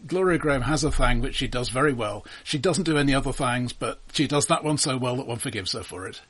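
A man co-hosting a casual film discussion, giving information in a halting, dryly humorous way. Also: medium pitch, lively intonation, a bit bright, husky. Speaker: film podcast co-host.

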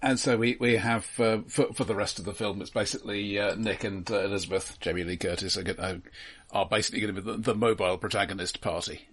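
A husky-voiced man co-hosting a casual film talk, explaining things in a halting, considered, analytical way. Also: fairly low pitch, steady tone, a bit bright. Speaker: film podcast co-host.

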